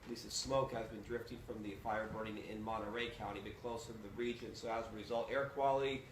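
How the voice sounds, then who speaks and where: A man giving a live TV weather forecast, brisk and reading out temperatures, heard from across a studio. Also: on the low side, fairly even intonation. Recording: echoey, distant, noisy room pickup.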